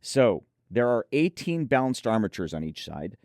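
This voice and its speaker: A man's voice: medium pitch, sing-song, moderate pace, a little mellow, dry recording, studio quality. A man hosting a conversational product preview, reading out specs and chatting with enthusiasm about them. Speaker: host presenting an audio gear preview.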